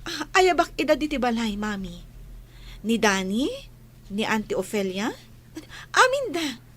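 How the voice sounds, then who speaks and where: A woman's voice: a little high, sing-song, measured pace, a bit bright, full character voice. A woman playing a kind young grown daughter in a radio drama, speaking in an earnest, pleading way. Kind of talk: Ilocano radio drama acting.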